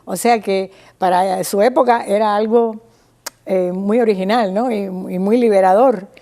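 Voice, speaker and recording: Woman, Cuban accent, medium pitch. Informal lecturer who feels her way through phrases and checks in with the listener. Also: fairly even intonation, mellow. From small town in Cuba. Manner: didactic, halting, checks with listener using tag questions. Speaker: guest speaker on Cuban theatre history. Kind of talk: informal explanatory talk.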